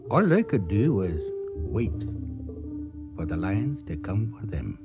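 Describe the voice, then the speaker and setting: A grave radio-play storyteller. His mid-pitched voice moves with strong, animated emphasis and a solemn air. Music plays clearly underneath, and the sound is a little dull. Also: takes it slowly, exaggerated voice. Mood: grave, foreboding. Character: grave male storyteller recounting a deadly hunt. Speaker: narrator of a radio drama. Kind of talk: dramatic scripted storytelling narration.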